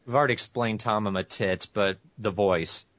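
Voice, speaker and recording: A man's voice: fairly low pitch, normal speed, fairly bright tone, no echo. A man who voices fan dubs, chatting casually in a dry, offhand way. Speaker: fan dub voice actor. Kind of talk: casual podcast chat. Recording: dry, dull lo-fi recording.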